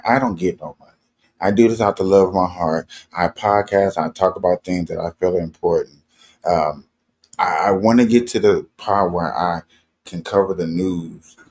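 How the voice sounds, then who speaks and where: A man with a husky voice hosting a live-stream, giving rambling, earnest reaction commentary. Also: sits low, darkish tone. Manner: rambling, earnest, repeats "you know what I'm saying". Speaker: streamer host.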